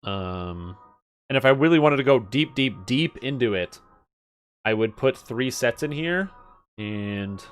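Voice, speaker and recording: A man's voice: medium pitch, expressive, unhurried, clean tone. A man giving casual live game stream commentary, thinking aloud as he mutters through details and swears now and then. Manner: muttering through item stats, profane.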